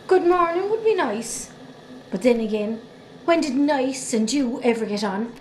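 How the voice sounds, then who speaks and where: A woman's voice: sits high, lively intonation, measured pace, a little mellow, a little hiss or hum, poor audio. A woman playing a defiant, sardonic young farmer's daughter with an Irish accent in an amateur drama. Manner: sardonic, outspoken.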